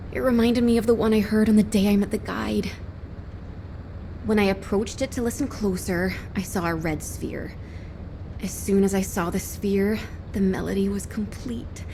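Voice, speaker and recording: A woman's voice: medium pitch, darkish tone, slight background noise, close to an everyday voice. A woman voicing a free-spirited young female musician who is dreamy and sassy, talking about music and feelings. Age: young adult. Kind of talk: video game cutscene voice acting. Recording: echoey with music behind.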